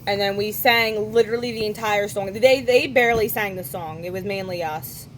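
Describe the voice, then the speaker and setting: A young woman hosts a podcast in an easy, casual chat. Her voice is hoarse and worn from singing at a concert, and her pitch sits in a middle range. She keeps tossing in quick asides and corrects herself mid-thought. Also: a little hiss or hum, rough recording. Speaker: podcast host.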